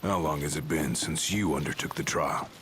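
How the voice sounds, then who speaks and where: An actor playing a tough young royal bodyguard in a video game, stoic and confident, talking in a casual, blunt, laconic way. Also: male voice, very deep voice, normal speed, background noise. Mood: resolute, casual. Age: young adult. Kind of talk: dramatic video game voice acting. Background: loud music.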